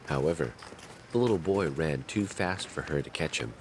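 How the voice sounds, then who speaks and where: A man narrating a fairy tale in a measured, dramatic storytelling manner. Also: rumbling low, expressive, very noisy.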